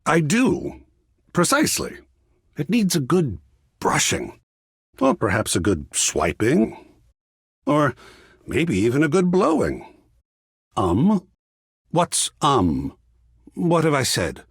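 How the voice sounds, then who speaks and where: A theatrical, effusive art curator for a video game. His pitch swoops wildly from low purrs to high exclamations, and he lingers over grand phrases at an unhurried pace. The voice is slightly husky and closely recorded, with a fussy, cultured air. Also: huge pitch swings, no echo, clean studio sound, heavily acted. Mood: fussy, concerned. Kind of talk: video game character voice lines.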